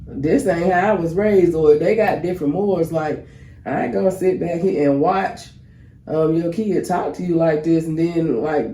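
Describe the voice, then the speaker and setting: A woman's voice: mid-range voice, dark tone, echoey room. Congested woman co-hosting a podcast. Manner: chatty, talks to her listeners directly. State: congested, with a cough. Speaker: podcast co-host. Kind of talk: casual relationship talk.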